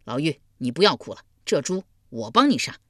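A woman voicing a kind-hearted, earnest young nobleman who speaks gently. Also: low-pitched, big pitch swings, a little fast, no echo, clean studio sound. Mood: resolute.

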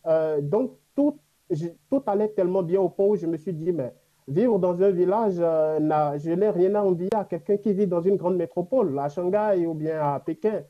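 A man from Africa whose first language is a Gbe language, answering interview questions reflectively with many pauses and fillers. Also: high-pitched, lo-fi sound. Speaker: guest.

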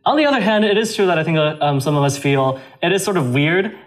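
A man's voice: fairly high pitch, expressive, fast. A young man from China, speaking as an interview guest in a thoughtful way with frequent fillers and restarts. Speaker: interview guest, a cappella singer. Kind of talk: casual interview talk.

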